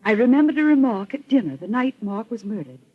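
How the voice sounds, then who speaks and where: A woman's voice: on the high side, very animated, fast, husky. An actress playing a poised, accusing society woman in a 1940s radio melodrama, formal and emphatic. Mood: insistent, accusing. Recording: muffled old radio recording.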